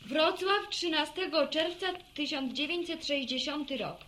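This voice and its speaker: An actress in a radio serial playing an earnest young village woman who reads aloud carefully and haltingly. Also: high voice, lively intonation, background noise. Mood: careful, eager. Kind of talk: Polish radio serial acting.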